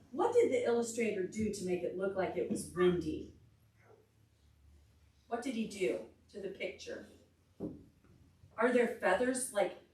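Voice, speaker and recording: A woman leads a preschool storytime, reading aloud with lots of rise and fall in her voice. She goes slowly and stops to explain things simply or put questions to the children. She sounds distant from the microphone in a large room. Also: mid-range voice. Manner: expressive, simple explanatory way of talking to small children. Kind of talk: reading a picture book aloud to young children, pausing to ask questions. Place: large carpeted indoor library meeting room. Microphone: distant camera microphone. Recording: roomy, picked up from across the room.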